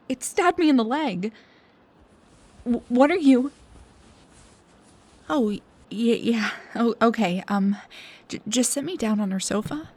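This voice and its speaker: A young woman playing a snarky, high-strung research assistant in an intimate audio roleplay, with a halting, stammering, dramatic delivery. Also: darkish tone. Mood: pained, flustered. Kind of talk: intimate one-sided audio roleplay acting.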